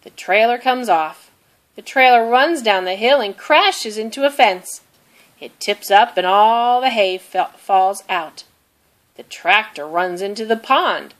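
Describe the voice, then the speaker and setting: A woman narrating a children's storybook aloud in an expressive, deliberate way. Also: mid-range voice. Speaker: storybook reader.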